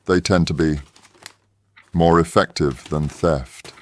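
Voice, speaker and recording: An actor playing a proud, caustic professor in an audio drama, speaking formally with cold sarcasm. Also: male voice, sits low, fairly even intonation, takes it slowly. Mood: cold disdain. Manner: formal, disdainful, clipped sarcasm. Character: proud, caustic wounded male professor and resistance fighter.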